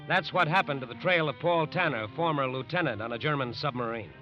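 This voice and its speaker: A man's voice: mid-range voice, fairly quick. A man narrating a 1940s radio crime drama in an emphatic, suspenseful scripted style. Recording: muffled old radio recording with music. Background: music.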